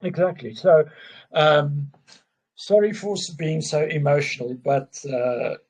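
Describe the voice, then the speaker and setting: Husky-voiced man, slow and halting. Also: on the high side, darkish tone. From South Africa. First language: Afrikaans. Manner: halting, restarts often, openly emotional. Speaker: guest. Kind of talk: casual conversation.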